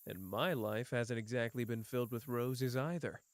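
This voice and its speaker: A man's voice: medium pitch, moderate pace, dry recording, lightly acted. A man voicing a teasing, world-weary young man. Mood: bleak. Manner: soft, teasing, drifting between playful and bleak. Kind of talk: ASMR roleplay.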